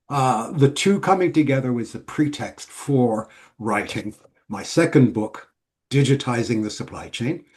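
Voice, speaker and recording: A middle-aged man from Switzerland, a guest author explaining his work in a measured, listing way. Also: mid-range voice, a little mellow. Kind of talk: casual interview.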